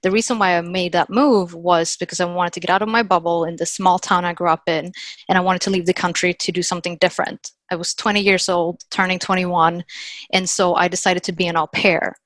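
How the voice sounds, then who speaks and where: Guest, woman, 30s, low-pitched, upbeat and chatty, dry studio sound. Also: level tone, fairly quick, fairly bright tone, no echo. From Sweden. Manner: chatty, upbeat, many "like" and "you know" fillers. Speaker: podcast guest, sales account executive. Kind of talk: casual interview chat.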